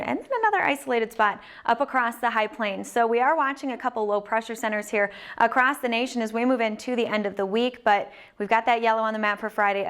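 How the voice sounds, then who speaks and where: A woman with a fairly high voice presents weather on live television. She moves fluently through the forecast in a polished broadcast delivery. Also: fairly bright tone.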